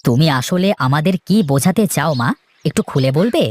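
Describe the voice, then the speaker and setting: A man's voice: on the high side, animated, moderate pace. A man voicing a doubting but good-natured village farmer in a children's cartoon, talking in a colloquial, questioning way. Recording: faint noise with bird chirps.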